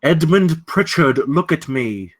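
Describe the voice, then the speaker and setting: An actor playing a menacing, courteous gentleman villain in a script table read, telling his tale in deliberate, ornate, theatrical phrasing. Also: on the high side, animated. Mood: quiet threat.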